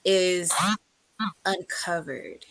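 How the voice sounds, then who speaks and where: A woman's voice: lowish voice, drawn-out words. Woman picking her words carefully. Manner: careful, hesitant, choosing words. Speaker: stage actor. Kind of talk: casual panel chat.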